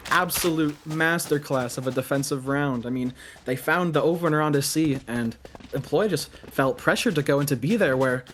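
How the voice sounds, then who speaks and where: A man giving live play-by-play commentary on a video game match, excitable on big plays and analytical between them. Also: a little high, moderate pace, slight background noise.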